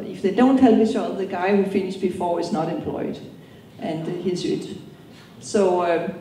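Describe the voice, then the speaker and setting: A middle-aged woman with a Danish accent, answering interview questions on stage and often pausing on ums and restarts. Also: mid-range voice, dark tone, slight background noise. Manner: hesitant, many ums and restarts. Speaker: company co-founder, guest. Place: event hall. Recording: echoey hall from far off.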